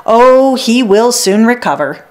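A woman reading as a hearty, lively-pitched village healer. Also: a little slow. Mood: brisk.

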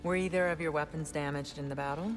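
An actress playing a steady, motherly warrior woman in a video game, firm and reassuring. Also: low voice, fast talker, music in the background, close to an everyday voice. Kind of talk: video game dialogue acting.

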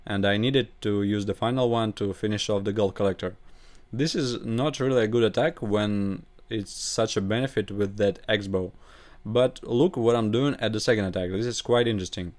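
Young male gaming host, Russian accent, lowish voice, non-native English, home-recorded voice-over commentary. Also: darkish tone. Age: young adult. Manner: halting non-native English with grammar slips.

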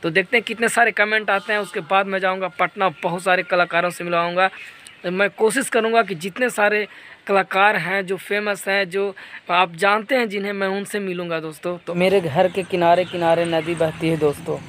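A man's voice: high-pitched, fairly even intonation, a little fast, sharp tone. A young man vlogging on a phone held at arm's length, chatting casually to his viewers as he walks outdoors to a busy village market. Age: young adult. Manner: chatty, addresses viewers as friends. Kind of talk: casual daily vlog narration. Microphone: phone camera held at arm's length. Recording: crowd and traffic noise behind. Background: market crowd and passing vehicles.

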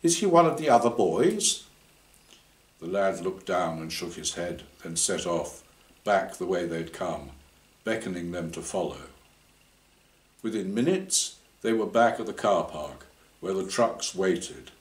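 An older man narrates a short story in a low voice. He reads slowly and deliberately, with noticeable room echo around him. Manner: measured, deliberate. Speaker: author reading his short story. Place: indoor room before a banner. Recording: roomy indoor echo.

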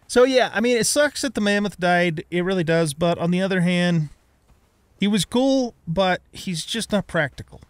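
A man's voice: sits high, animated, moderate pace, clean tone, no echo. A middle-aged man giving casual let's play commentary, chatting and thinking aloud as he goes. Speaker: gaming let's play host.

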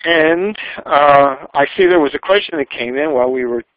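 An older man speaking informally in a webinar, halting and wandering into asides. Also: on the high side, slight background noise. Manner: halting, digressive, trailing asides. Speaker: speaker at a professional society webinar. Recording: dull, lo-fi webinar audio.

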